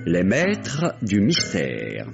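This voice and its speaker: A man's voice: on the low side, wildly swooping pitch, extremely slow, vocal fry. A man formally announces scripted radio drama credits on a vintage recording. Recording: dull vintage radio recording under music. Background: music.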